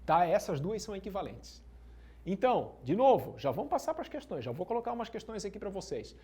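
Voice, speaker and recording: A man's voice: high-pitched, sing-song, a little fast, mellow. A male teacher giving an exam-prep lesson at a whiteboard, slangy and emphatic, checking in with students often. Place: classroom at a whiteboard.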